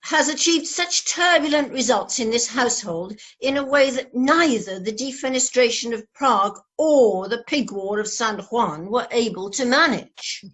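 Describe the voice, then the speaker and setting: An arch lady of high society, played by a woman in a radio play. She speaks in a high voice with theatrical, grandiloquent phrasing. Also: expressive, normal speed, hardly any pauses, fairly bright tone.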